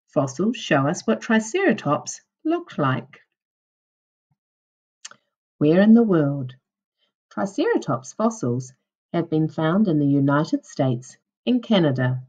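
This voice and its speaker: A woman with a low, husky voice reads aloud as a primary school teacher would to young children. Her pace is unhurried and her intonation rises and falls in a very animated way, with careful, instructional phrasing. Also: muffled recording. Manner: deliberate, instructional, questioning delivery for young children. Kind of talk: reading a children's non-fiction book aloud.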